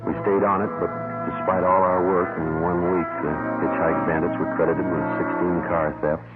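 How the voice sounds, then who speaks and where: Stoic detective sergeant , played by a man, extremely low voice, clipped deadpan narration, muffled old radio sound with loud music. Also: normal speed, close to an everyday voice. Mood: terse, factual. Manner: terse, matter-of-fact, deadpan. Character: stoic, businesslike male police detective sergeant. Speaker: actor playing the narrating detective. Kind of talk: 1950s radio crime drama, clipped scripted narration.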